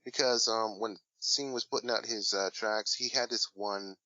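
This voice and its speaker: A man's voice: fairly low pitch, steady tone, normal speed, pushed and bright, no room sound, highs cut off. A man talking casually as an interview guest, rambling and hesitant with many fillers. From Washington State, USA. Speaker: guest, hip hop artist.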